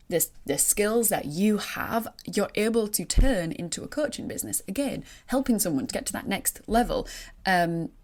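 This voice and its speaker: A woman's voice: lowish voice, darkish tone. Chatty Yorkshire woman coaching teachers. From northern England. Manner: chatty, encouraging, many fillers and restarts. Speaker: online business coach for teachers. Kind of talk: solo talk to camera.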